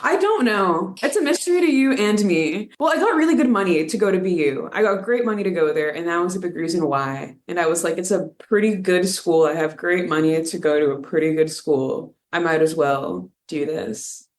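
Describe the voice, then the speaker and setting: A woman's voice: on the low side, expressive, brisk, hardly any pauses, roomy sound. A woman in her twenties from New England, a band member, chatting easily as a guest. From New England, USA. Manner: easygoing, chatty.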